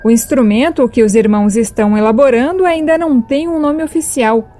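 A woman's voice: fairly high pitch, fairly quick, dark tone, soft background music. A woman with a Brazilian accent reading scripted narration as host of a news podcast.

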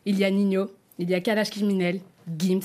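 A woman journalist presenting a prepared segment in a playful, lively way, laughing often. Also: medium pitch, brisk. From not Congolese. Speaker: guest journalist.

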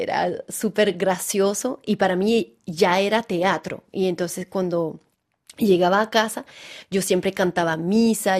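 A French woman in her thirties speaks Spanish with a Venezuelan-tinged accent, her voice sitting at a mid pitch. She talks in a chatty, relaxed way as a radio guest in a studio. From France. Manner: chatty, relaxed, often laughing, full of "digamos" and "como que". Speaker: actress and theater director, guest. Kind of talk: radio interview.

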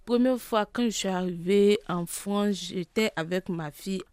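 A woman's voice: mid-range voice, unhurried, darkish tone, no echo, studio quality. A woman with an Ivorian accent telling her own story simply as an interviewed mother. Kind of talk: simple spoken personal account.

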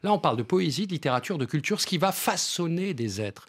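Animated husky man explaining. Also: quick pace. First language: bilingual French and Portuguese. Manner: emphatic, repeats words, lively pitch swings. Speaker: theatre director, guest. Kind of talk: radio interview, animated explaining.

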